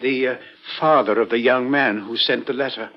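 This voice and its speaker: An actor playing a timid, deferential manservant with a hesitant, stammering, apologetic way of talking, in vintage radio drama style. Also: male voice, medium pitch, fairly even intonation, moderate pace, lo-fi sound, faint music behind, close to an everyday voice. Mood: meek. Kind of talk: 1940s-60s American radio drama acting.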